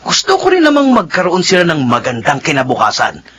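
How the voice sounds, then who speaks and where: A man's voice: fairly high pitch, wildly swooping pitch, brisk, fairly bright tone, slightly rough, full character voice. A middle-aged man playing a careless, pushy husband in a radio drama, emphatic and insistent.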